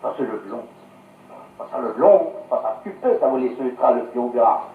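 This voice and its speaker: A man with a Savoyard accent giving an animated, theatrical comic recitation in local dialect, on a 1950s radio recording. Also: mid-range voice, moderate pace, recorded from a distance. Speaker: patois reciter. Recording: echoey, narrow 1950s radio archive.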